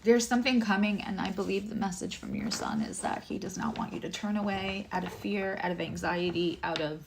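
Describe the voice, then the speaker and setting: A woman tarot reader and astrologer with a low voice, giving a livestream reading, chatty and emotional, addressing viewers by name. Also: very animated, fairly quick, vocal fry, slight background noise.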